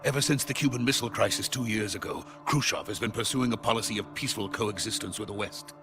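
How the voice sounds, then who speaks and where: Guy doing a nervous scientist held captive, lowish voice, urgent, game drama with music under it. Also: fast talker, close to an everyday voice. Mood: grave. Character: wary, anxious captive Soviet scientist. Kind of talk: dramatic video game acting.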